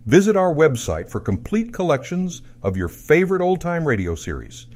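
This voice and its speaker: A man's voice: a little high, big pitch swings, moderate pace, darkish tone. A man reading a scripted promotional voice-over as an announcer.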